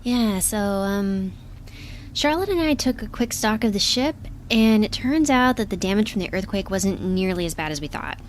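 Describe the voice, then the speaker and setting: A woman playing a brisk, wry, blunt spaceship crew member in a science fiction audio drama, talking casually. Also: medium pitch, animated, slight background noise, lightly acted.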